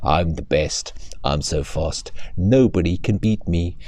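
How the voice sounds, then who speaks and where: A man's voice: sits low, slow, a little mellow. A man voicing a boastful male cheetah in a children's bedtime story, with gloating, laughing taunts.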